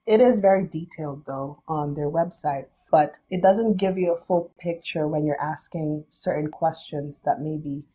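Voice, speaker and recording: Young woman, low husky voice, mellow, talking thru it step by step like a guest on a chat. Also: normal speed, few pauses. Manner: thoughtful, explains step by step. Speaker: business founder, podcast guest. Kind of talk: casual interview.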